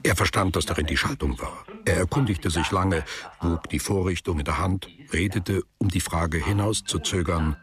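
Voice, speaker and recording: Low-voiced man narrating literary radio drama. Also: moderate pace, crowd noise.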